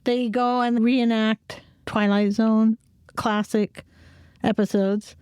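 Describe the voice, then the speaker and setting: Slightly high-voiced woman in casual podcast chat. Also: flat delivery, slow, darkish tone, clear, resonant tone, no room sound, clean studio sound. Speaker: podcast co-host.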